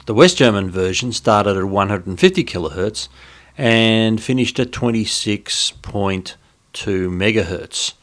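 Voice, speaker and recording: Low-voiced man chatting. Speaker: host, amateur radio hobbyist. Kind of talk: casual explanatory talk for a hobby restoration video.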